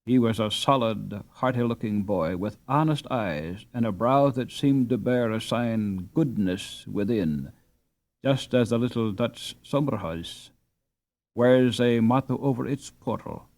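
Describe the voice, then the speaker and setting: A man reading a story aloud as an audiobook narrator, in an expressive, dramatic storytelling manner. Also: medium pitch, measured pace.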